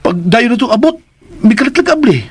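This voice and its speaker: A man's voice: very high-pitched, very animated, quick pace, husky, full character voice. A man acting a cheeky, humble young provincial job seeker in a Filipino radio drama, talkative and deferential, with a Cebuano accent. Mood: amazed, chatty.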